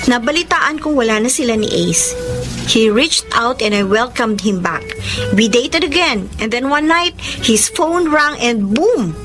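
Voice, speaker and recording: A high-voiced young woman in love, insecure and jealous. Her delivery is emotional and swings widely in pitch, in radio romance style, with music underneath. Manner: emotional, code-switches into English. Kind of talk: Tagalog radio romance drama acting and narration.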